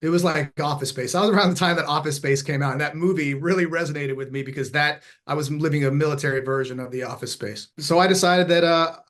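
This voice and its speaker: Man telling stories in a relaxed, halting way. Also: on the high side. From Texas. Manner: halting, with many fillers and restarts. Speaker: podcast guest, former special operations veteran. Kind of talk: reflective conversational storytelling.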